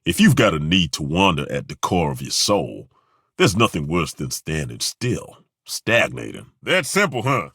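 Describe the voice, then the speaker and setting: An actor playing a gruff, folksy middle-aged male dwarf fighter with a dry, wry, plainspoken way of talking, in video game voice acting. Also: low-pitched, wildly swooping pitch, moderate pace, a bit bright, dry recording, exaggerated voice. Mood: dry banter. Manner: dry, wry and plainspoken, with roaring battle cries. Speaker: party companion.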